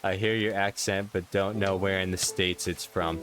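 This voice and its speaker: A man's voice: normal speed, faint music behind. A young man with a New York accent chatting casually on a live stream, rambling and playful, reading out viewer comments. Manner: rambling, self-interrupting, playful, jumps up in pitch for excited questions. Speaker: Minecraft streamer.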